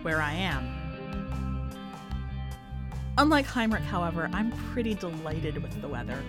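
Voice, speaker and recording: A woman podcast host narrating and commenting on literary fiction in a measured, expressive manner. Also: deep voice, music in the background. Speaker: podcast host and story reader.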